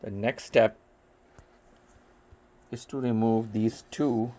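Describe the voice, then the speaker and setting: A man giving step-by-step hands-on repair instructions in a careful, halting way, pausing between short phrases. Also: lowish voice, fairly even intonation, mellow. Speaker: repair tutorial host.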